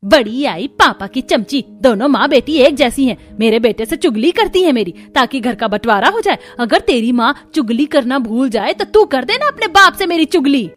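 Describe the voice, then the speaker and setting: Woman doing a scolding, high-voiced mother-in-law. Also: animated, normal speed, hardly any pauses. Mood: scornful, angry. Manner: sarcastic, nagging. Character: bitter, greedy, scolding older mother-in-law.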